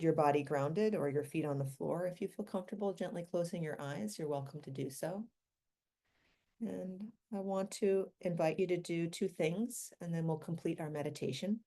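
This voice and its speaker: A woman guides a meditation in a low-pitched voice with a dark, relaxed tone. She speaks gently and without hurry, leaving long pauses between short phrases.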